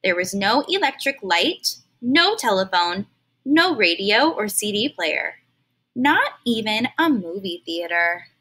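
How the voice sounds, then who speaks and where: Bright-voiced woman reading a storybook aloud. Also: mid-range voice, slow pace. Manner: expressive, stops to explain words simply. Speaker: teacher reading aloud to children. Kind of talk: storybook read-aloud with explanatory asides.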